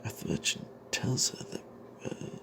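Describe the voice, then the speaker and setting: A man narrating a fantasy tale in breathy ASMR whispers, in character as a wry, suspicious adventuring cleric, with a halting, hushed delivery. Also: background noise.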